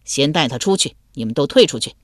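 Woman acting a gruff, low-voiced general. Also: expressive, fast, no echo, clean studio sound. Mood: commanding, scornful. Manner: barking, authoritative. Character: commanding, suspicious male general, gruff and sardonic.

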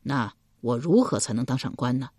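A woman's voice: rumbling low, expressive, moderate pace, a little mellow, dry recording, clean studio sound, pitched below the performer's own voice. A woman storyteller playing a ruthless, ambitious husband from ancient times, domineering and coldly persuasive. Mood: coaxing.

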